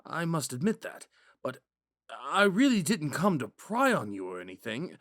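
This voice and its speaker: A man playing a gentle, smitten young prince in a romantic audio roleplay, musing and hesitant in a courteous way. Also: fairly high pitch, big pitch swings, moderate pace, dry recording, studio quality. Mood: apologetic, reassuring. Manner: musing, hesitant, courteous, with sighs and whispered asides.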